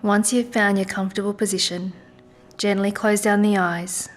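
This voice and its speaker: A woman leading a guided meditation in a soft, gentle, soothing manner with unhurried pauses. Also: mid-range voice, flat delivery. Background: music.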